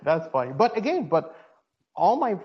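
A man's voice: high-pitched, sing-song, normal speed. A man from Ghana with a Ghanaian accent, calling in and chatting casually, rambling with many fillers and restarts. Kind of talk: casual call-in chat. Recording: dull lo-fi line.

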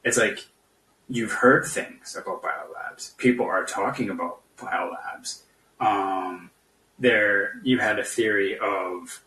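A man casually debating politics as a caller, skeptical and halting, feeling his way through each point. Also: fairly low pitch, fairly bright tone. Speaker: podcast guest caller. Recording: echoey room, distant from mic.

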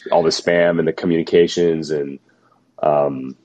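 A man co-hosting a podcast, a little hoarse from being sick, musing skeptically in casual chat. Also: very deep voice, flat delivery, measured pace, a little mellow. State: slightly losing his voice, sick. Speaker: podcast co-host.

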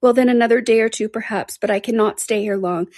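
A woman's voice: fairly high pitch, fast, a little mellow, no room sound, studio quality, voice pushed up. A woman reading aloud and voicing a heartbroken, passionate young woman who pleads in anguish. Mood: distraught.

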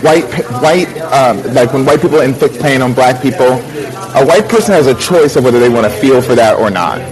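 A man's voice: mid-range voice, lively intonation, normal speed, crowd noise, low-quality recording. A man speaking casually and candidly in a group debate, often restarting and circling back to his point. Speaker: participant in discussion. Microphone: remote app call.

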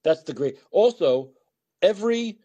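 A man's voice: high voice, lively intonation, measured pace, mellow, muffled recording. A man hosting a call-in talk show, blunt and sarcastic, rambling freely through his opinions in live monologue.

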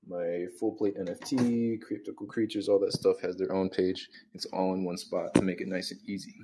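A man's voice: low-pitched, warm tone, a little hiss or hum. A man hosting a casual solo podcast, rambling with lots of fillers and restarts while making breakfast. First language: not Spanish. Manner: rambling, filler-heavy, self-correcting. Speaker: podcast host.

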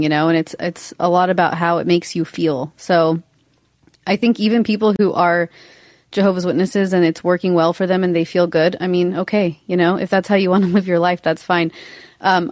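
A young woman guest speaks easily and loosely, with lots of "like" and "you know", her voice fairly low for a woman. Her sentences drift quieter at the ends, and the sound is dry and close. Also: no room sound. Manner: relaxed, filler-heavy, trails off softly. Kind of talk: casual interview talk.

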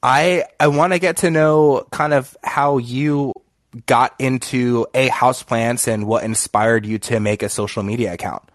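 A man's voice: mid-range voice, fairly even intonation, measured pace, no echo, clean studio sound. A man hosting a casual podcast chat, friendly and chatty, his voice a little nasal from allergies. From Florida. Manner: friendly, chatty, with fillers and restarts. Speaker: podcast host.

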